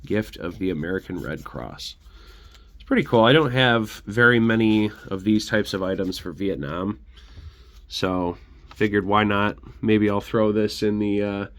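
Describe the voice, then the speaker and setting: A man's voice: lowish voice, unhurried, a bit bright. A man with a congested, sick voice giving a casual, rambling show-and-tell as host of a collection channel.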